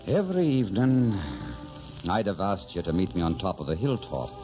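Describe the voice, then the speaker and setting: An actor playing a tender, wistful Irish rebel who dreams of farm life, speaking with an Irish lilt in old-time radio drama style. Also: male voice, low-pitched, sing-song, moderate pace, warm tone. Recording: dull vintage radio recording with music.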